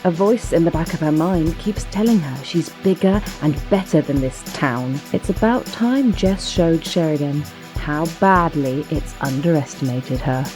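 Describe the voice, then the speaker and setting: A woman's voice: sits low, unhurried, hardly any pauses, music in the background, rough recording. A woman giving a scripted introduction as a cast member of a role-playing show.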